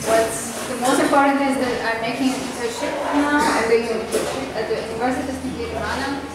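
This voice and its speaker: A woman's voice: a little high, steady tone, fast talker. A woman in her twenties with a Hungarian accent, giving an informal, slightly hesitant presentation to an audience in a small café. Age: 20s. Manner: hesitant, searching for words, pointing at slides. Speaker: guest speaker. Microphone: handheld mic, filmed from across the room. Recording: very echoey room, far from the mic, loud background noise.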